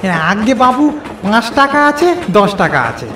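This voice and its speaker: A humble cartoon snack seller played by a man speaks in a high-pitched, swooping, obliging voice. The sound is echoey, with clear background noise. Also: sing-song, a little slow. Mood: eager, obliging. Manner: deferential, obliging. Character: humble, eager male snack seller serving a customer. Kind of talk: cartoon character voice. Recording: noisy, echoey train ambience.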